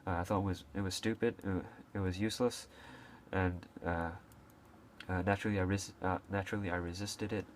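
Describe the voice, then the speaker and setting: A young man with a deep, low voice speaks to camera in a loose, unscripted way. He stops and restarts often, dropping "uh" between phrases. A faint hiss sits under his voice. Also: fairly even intonation, brisk. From Hong Kong. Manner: hesitant, many fillers and restarts, occasional coughs. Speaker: video creator. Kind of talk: casual unscripted talk to camera.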